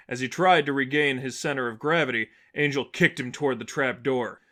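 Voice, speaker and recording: Mid-pitched man narrating a story. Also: fairly bright tone. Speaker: narrator reading fan fiction.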